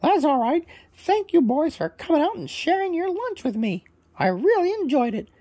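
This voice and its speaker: A man's voice: extremely high pitch, sing-song, normal speed, mellow, dry recording, voice pushed up. A man voicing a cheerful, hospitable male rainbow parrot for a children's bedtime story, eager and welcoming, often repeating his phrases. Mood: friendly.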